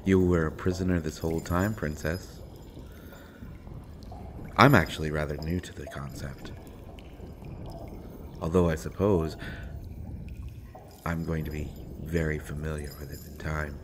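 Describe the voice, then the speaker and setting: Man playing a very deep, slow, sardonic dungeon villain. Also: fairly even intonation, a little hiss or hum. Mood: cold, menacing. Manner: theatrical, mocking, drawn-out deliberate phrasing with pauses. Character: sardonic imprisoned male villain taunting a captive princess. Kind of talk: scripted audio roleplay acting.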